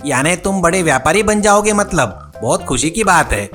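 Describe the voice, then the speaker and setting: A man's voice: high-pitched, lively intonation, moderate pace, fairly bright tone, faint music behind. A man voicing a poor, humble young village tea seller in a cartoon story, with a pleading, questioning manner. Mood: curious, eager.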